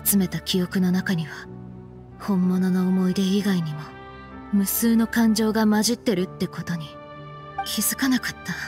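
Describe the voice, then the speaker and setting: An actress playing a stern, frank young woman in an anime game, speaking in a firm, reproachful, deliberate way. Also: medium pitch, fairly even intonation, music in the background, natural voice. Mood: grave explaining. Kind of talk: anime game voice acting, dramatic dialogue.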